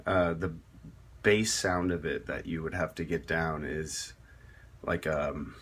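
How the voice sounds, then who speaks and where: Slow, deep-voiced man explaining vocal technique. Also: fairly even intonation, a bit bright, slight background noise. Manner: rambling and halting, many fillers and restarts. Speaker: metal vocalist teaching screaming technique. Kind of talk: casual spoken tutorial.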